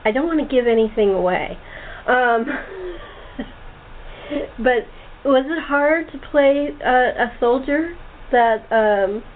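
A woman hosting a casual interview, chatty and halting as she rephrases her questions. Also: a little high. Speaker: host, playwright-director interviewing cast. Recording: tinny phone-like internet call, noisy.

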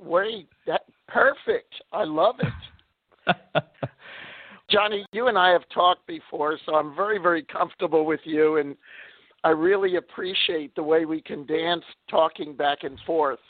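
A man, a little hoarse, chatting as a guest on a radio interview, laughing often and using many fillers. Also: a little high, measured pace, dry recording. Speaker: guest author. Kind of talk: casual interview talk. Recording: tinny phone line.

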